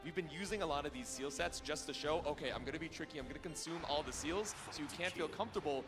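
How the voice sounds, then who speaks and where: A man's voice: on the high side, fast talker. A man commentating a fighting game match live, play-by-play, on an esports broadcast. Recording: broadcast with prominent music behind. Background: music.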